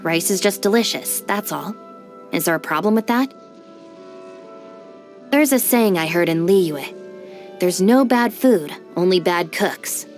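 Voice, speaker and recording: Low-voiced, composed young gang deputy. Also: female voice, lively intonation, unhurried, long pauses. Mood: casual, self-assured. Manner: composed, matter-of-fact, dry. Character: competent, level-headed young woman, a street gang's deputy. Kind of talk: video game character voice lines. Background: music.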